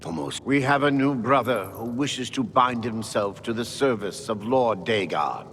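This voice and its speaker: An actor playing a stern warden of a dark cult's shrine in a video game, formal, commanding and ceremonious. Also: male voice, medium pitch, measured pace, creaky. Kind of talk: video game character acting. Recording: long hall reverb, faint music behind.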